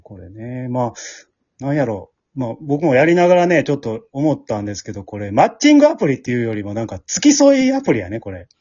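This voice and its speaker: A man's voice: mid-range voice, big pitch swings, fast talker, dark tone, breathy edge, no echo. A man with a Kansai accent chatting casually as a co-host after a show. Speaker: podcast co-host of an amateur drama group. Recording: dull lo-fi recording.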